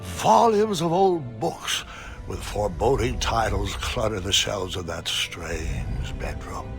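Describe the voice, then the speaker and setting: A man playing a whimsical old grandfather who tells his adventures theatrically in a storybook-style game, with a gravelly voice. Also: fairly low pitch, sing-song, slow pace, over music, heavily acted. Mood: theatrical, mysterious.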